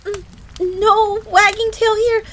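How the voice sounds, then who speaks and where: A woman's voice: very high voice, slow, full character voice. A woman playing a proud, easily flustered fox-girl maid in a roleplay audio drama, speaking formally in the third person with stammers. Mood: flustered, indignant. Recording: steady rain noise throughout. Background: rain ambience.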